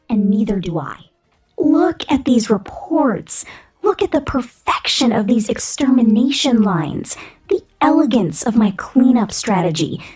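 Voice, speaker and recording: A woman acts out a haughty female robot executive in an ASMR roleplay, breathy and whispery over faint music. She talks down to humans with slow, deliberate menace, vain and controlling in every phrase. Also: a little high, mellow, full character voice. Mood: haughty, contemptuous. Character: domineering, vain female robot corporate boss with contempt for humans. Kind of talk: ASMR roleplay monologue, scripted.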